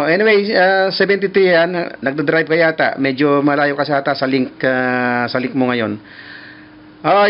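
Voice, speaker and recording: A man with a Filipino accent making casual ham radio contact, halting as he searches for words. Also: a little high, clean tone. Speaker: amateur radio operator. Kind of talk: casual ham radio chat with call signs. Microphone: mobile phone. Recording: muffled, noisy mobile phone capture.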